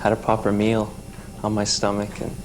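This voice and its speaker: A man's voice: on the low side, monotone. Young Canadian man in his twenties, talk show guest, hesitant storyteller, audible hiss on the recording. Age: 20s. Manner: halting storytelling with many restarts and fillers.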